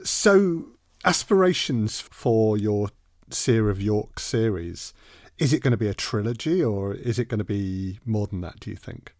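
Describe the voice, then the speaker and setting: A man's voice: fairly low pitch, expressive, a little slow, darkish tone, dry recording, clean studio sound. A man hosting a podcast, asking questions in a conversational interview style.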